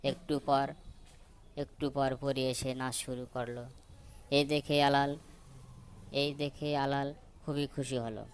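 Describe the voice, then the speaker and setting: A man's voice: mid-range voice, little pitch movement, takes it slowly, pauses often, clean tone. A man narrating a scripted children's story. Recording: noticeable background noise.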